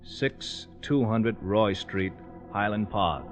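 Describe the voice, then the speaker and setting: An actor playing a calm, measured police desk officer in an old radio crime drama, on a muffled recording. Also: male voice, fairly low pitch, faint music behind. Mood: businesslike. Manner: measured, businesslike. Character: calm, procedural male missing persons police officer.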